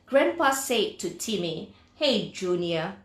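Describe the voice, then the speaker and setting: A woman's voice: medium pitch, sing-song, takes it slowly. A woman with a Malaysian accent reading a children's storybook aloud and adding instructive asides with questions for the young listener. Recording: roomy, echoing sound.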